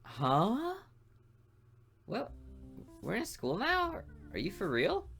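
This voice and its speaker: A woman's voice: medium pitch, huge pitch swings, slow pace, vocal fry, faint music behind. A woman hosting a gameplay video, reading a visual novel aloud and breaking off into joking, off-the-cuff asides.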